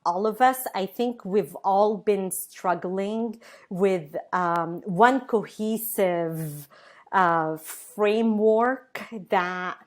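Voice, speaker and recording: A woman, a guest on a webinar interview, speaking thoughtfully with many pauses, fillers and restarts, over a video call. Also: medium pitch. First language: Arabic.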